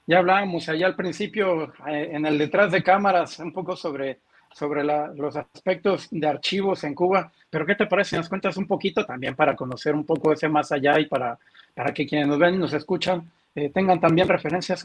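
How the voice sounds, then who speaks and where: Very high-pitched man hosting a podcast. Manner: chatty, courteous. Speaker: podcast host. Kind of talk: casual chat, interviewing.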